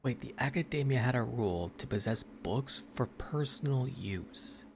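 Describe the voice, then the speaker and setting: A woman's voice: a bass voice, expressive, moderate pace, darkish tone, slight background noise. A woman streamer giving casual live commentary on a game.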